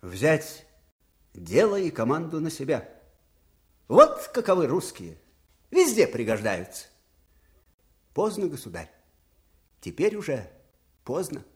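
An actor playing an aged, melancholy and eccentric Russian field marshal in a radio drama, speaking in halting, broken phrases. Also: male voice, fairly high pitch, wildly swooping pitch, exaggerated voice. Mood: grave, musing. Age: older. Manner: brooding, halting, broken phrases with sudden outbursts. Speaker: actor in the lead part. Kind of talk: Soviet radio drama acting.

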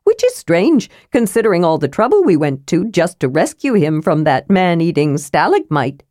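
A woman voicing a small, cheerful, curious male kender, high, bright and eager. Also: very animated, moderate pace, no room sound, clean studio sound.

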